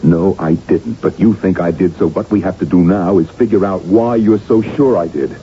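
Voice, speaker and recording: A male actor playing an exasperated, earnest doctor and loyal friend in a 1980s radio drama, reasoning emphatically. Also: normal speed. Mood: exasperated, firm. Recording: dull, rumbling, noisy old radio recording.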